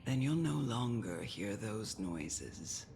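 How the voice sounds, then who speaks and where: An actor playing a grave, wise guardian of death who counsels a child in a measured, solemn video game style. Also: mid-range voice, big pitch swings, gravelly, noisy background, heavily acted. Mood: solemn, guiding. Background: music.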